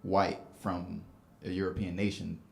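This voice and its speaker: A man's voice: low-pitched, level tone, a little slow, creaky, a little mellow. A man in the audience asking a panel a question, rambling with many fillers and restarts. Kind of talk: unscripted question from the floor. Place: event hall with audience. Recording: echoey room.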